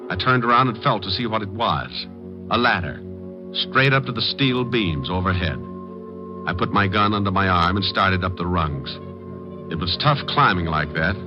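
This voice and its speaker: An actor playing a tough, wisecracking private detective in an old-time radio drama, telling his case in terse, deliberate hard-boiled style. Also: deep voice, lightly acted. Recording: muffled vintage radio recording with music.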